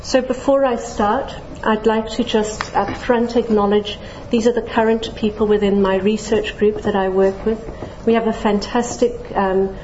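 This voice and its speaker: A woman's voice: medium pitch, warm tone, a little hiss or hum. A middle-aged woman from Zambia giving a lecture to a general audience in a lecture hall, friendly, with light self-deprecating humor and plenty of ums. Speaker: scientist giving a public lecture. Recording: dull, echoey lecture hall recording.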